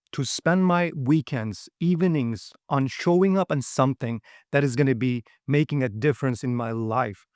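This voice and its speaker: A man's voice: medium pitch, darkish tone, no echo, clean studio sound. Straight-talking man. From Uyghur region. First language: Uyghur. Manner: direct, frequent "okay?" and "right?" checks, non-native grammar. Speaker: seminar presenter. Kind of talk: motivational talk.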